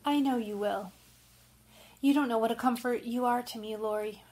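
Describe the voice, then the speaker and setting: A woman's voice: a little high, moderate pace, a little mellow. A woman playing a spirited, tomboyish young woman, earnest and dramatic, in an old-time radio drama. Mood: gentle, sorrowful.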